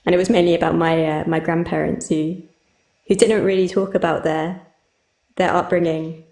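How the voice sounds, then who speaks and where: A woman's voice: fairly low pitch, little pitch movement, moderate pace, darkish tone, reverberant. A woman on a video call from home, talking casually and a little hesitantly about a song. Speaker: guest musician. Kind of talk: casual chat on a live stream.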